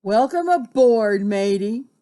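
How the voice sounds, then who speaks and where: A woman's voice: high voice, lively intonation, a little slow, voice pushed up. A woman voicing a grumbly but hearty old male sea captain from a storybook, with salty sailor talk.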